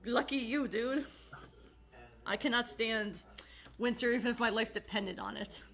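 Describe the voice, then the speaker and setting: A woman's voice: fairly high pitch, a little slow, fairly bright tone. Giggly woman chatting on a call. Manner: giggly, rambling, swears freely. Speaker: channel host. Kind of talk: casual chat with friends.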